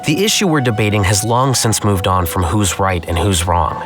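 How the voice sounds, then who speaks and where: An actor playing a calm, stoic scholar in a video game, with a measured, formal, deadpan manner. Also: male voice, lowish voice, music in the background, close to an everyday voice. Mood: calm, matter-of-fact. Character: calm, stoic, dryly teasing male scholar. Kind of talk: scripted video game dialogue.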